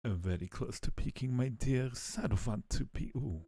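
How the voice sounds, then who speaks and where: A man's voice: medium pitch, moderate pace, mellow, breathy edge. A man chatting casually and playfully with viewers on a livestream, teasing them affectionately. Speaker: live streamer hosting a chat.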